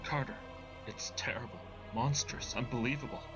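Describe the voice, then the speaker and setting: A man voicing a terrified, despairing man calling from below in a horror story, hoarse, whispery and urgently pleading, over music. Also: medium pitch, steady tone, normal speed, dull audio, close to an everyday voice. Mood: hushed horror. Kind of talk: dramatic horror story reading. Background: loud background music.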